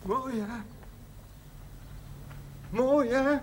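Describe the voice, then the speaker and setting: Childlike simple fellow, very high voice, excitable, stammering, repetitive, television drama. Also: male voice, very slow, a little hiss or hum, heavily acted, poor audio. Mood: childlike delight. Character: childlike, simple, excitable monastery resident, male.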